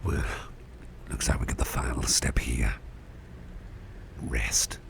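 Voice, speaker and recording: A man playing a caring, gentle boss in an ASMR roleplay, murmuring tender reassurance in breathy whispers with a gravelly rumble. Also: takes it slowly, exaggerated voice. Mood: tender, reassuring. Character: caring, gentle male boss soothing a cursed employee.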